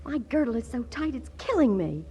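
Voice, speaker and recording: An actress playing a complaining, sassy wife in a 1940s radio comedy. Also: female voice, a little high, sing-song, a little fast, warm tone. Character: whiny, nagging wife of a rich big shot. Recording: vintage 1940s radio broadcast.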